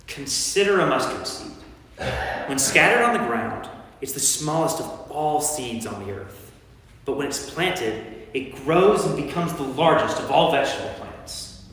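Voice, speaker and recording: A man playing an authoritative teacher of parables in a staged scripture reading, speaking deliberately in a church. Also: a little high, lively intonation, a bit bright. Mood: earnest teaching. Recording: echoey church hall, faint background noise.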